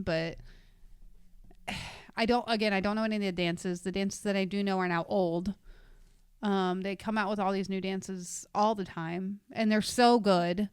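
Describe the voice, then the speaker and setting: A woman's voice: mid-range voice, clear, resonant tone, no echo, studio quality. A woman in her thirties co-hosting a podcast, chatting casually and telling rambling stories. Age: 30s. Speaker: podcast co-host. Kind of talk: casual chat.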